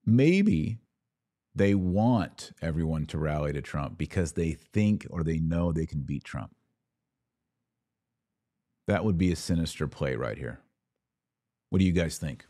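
A man's voice: extremely low pitch, expressive, a little slow, pauses often, mellow, no echo, studio quality. An American man hosting a live commentary podcast, opinionated and conversational, repeating himself for emphasis as he answers viewer comments.

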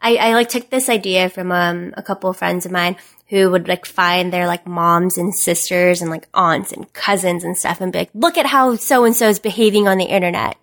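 A woman's voice: fairly low pitch, fairly even intonation, moderate pace, hardly any pauses, a bit bright. A young woman guest on a podcast, chatting casually, blunt and profane, with lots of "like" fillers. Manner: frank and profane, heavy use of "like". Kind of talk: casual chat.

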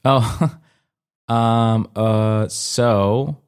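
A young man with a fairly low voice, speaking as a guest in a relaxed interview. He is from Colombia and tells personal stories in an informal, halting way, often restarting and rewording as he goes. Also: clean tone. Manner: informal, hesitant and self-correcting, often seeking agreement with "you know". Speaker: interview guest, comedian and former dancer. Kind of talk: casual conversational interview, personal storytelling.

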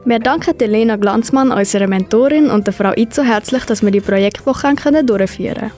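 A woman's voice: a little high, a little fast, dark tone, soft background music. A teenage girl with a Swiss German accent presenting a scripted school radio programme. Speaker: student presenter.

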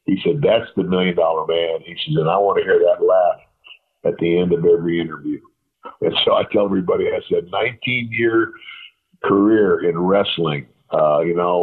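A man with a deep voice telling rambling stories as an interview guest, full of fillers and quoted dialogue. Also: breathy edge. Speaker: guest, retired wrestler. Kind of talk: casual storytelling interview. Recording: tinny phone line.

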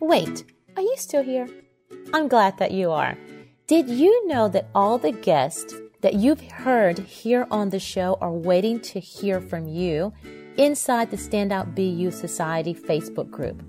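A woman's voice: medium pitch, expressive, a little slow, mellow. A woman reading a scripted, friendly podcast outro inviting listeners to join a group. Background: background music.

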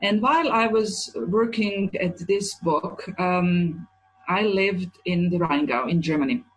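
A woman in her forties from Croatia, an author answering interview questions in a thoughtful, halting way. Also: lowish voice, faint music behind, low-quality recording. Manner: thoughtful, halting, searching for words. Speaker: children's author, guest.

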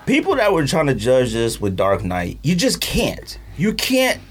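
A man's voice: mid-range voice, huge pitch swings, unhurried. A man chatting casually as a podcast host, emphatic and opinionated, with slangy phrasing and repeats for emphasis. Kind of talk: casual podcast banter about movies.

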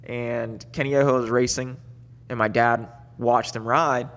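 A man from Puerto Rico, guesting on a podcast, recounting his past in a relaxed, easygoing way. His voice sits mid-range, with a long room reverb behind it. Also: mid-range voice, unhurried. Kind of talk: casual interview storytelling. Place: studio.

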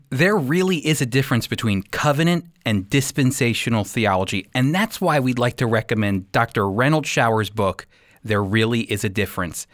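A male radio host speaks in an earnest, explanatory way, like a conversational Bible teacher. His voice sits at a medium pitch, recorded close and dry with hardly any room sound. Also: fairly bright tone, dry recording.

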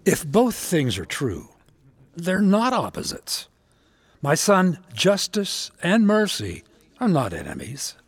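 An actor playing a wise, gentle old rabbi with a measured, wry way of questioning in a biblical audio drama. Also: male voice, on the high side, huge pitch swings, slightly rough, no echo, exaggerated voice. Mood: thoughtful.

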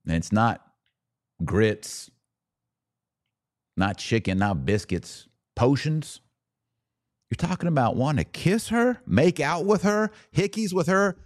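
A man's voice: a little high, sing-song, slow pace, long pauses, no echo, studio quality. An American man giving opinionated solo podcast commentary, sarcastic and emphatic, repeating phrases for effect.

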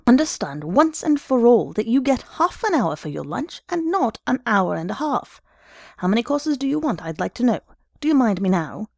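A woman voicing a furious, shrill little office boss, a scolding and sarcastic man with a North of Ireland accent, in a story reading. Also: creaky, mellow, dry recording, studio quality, exaggerated voice. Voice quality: shrill, piercing. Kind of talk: dramatised literary story reading.

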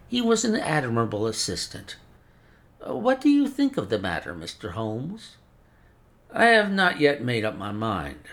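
A man's voice: on the low side, a bit bright, heavily acted. A man voicing a frail, chain-smoking old male scholar who speaks in a precise, mincing way and laments effusively.

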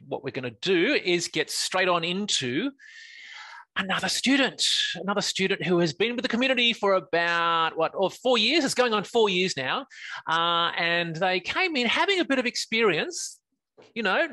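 Bright, high-voiced man hosting an interview. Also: dry recording. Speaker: host of a webinar success-story show. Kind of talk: casual live online interview. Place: live video call online.

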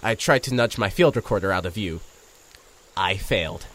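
An actor playing an awkward, wry radio host turned investigator, narrating an audio drama in the first person with some hesitancy. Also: male voice, deep voice, expressive, moderate pace, fairly bright tone. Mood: wry, storytelling.

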